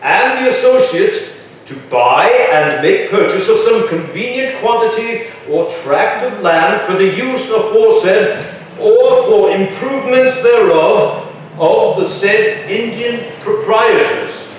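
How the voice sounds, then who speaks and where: Guy as stern colonial official in a play reading, highish voice, big echo. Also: lively intonation, slow. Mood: firm. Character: stern colonial men: indignant grandfather leader, curt governor's secretary. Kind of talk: staged reading of a historical play. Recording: very echoey room, distant, faint noise.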